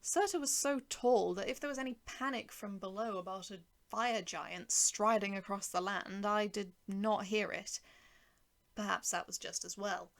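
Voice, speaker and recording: Wry woman narrator with creaky voice. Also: mid-range voice, moderate pace, a bit bright. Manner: wry, sardonic. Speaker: writer and narrator. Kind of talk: first-person short story narration with voiced dialogue.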